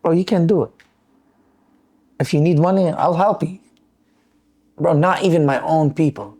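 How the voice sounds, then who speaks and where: A man's voice: fairly high pitch, normal speed, warm tone. A man hosting a casual podcast, telling stories animatedly in loose, non-standard English and saying "bro" often. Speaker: podcast host, barbershop owner. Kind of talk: casual storytelling chat.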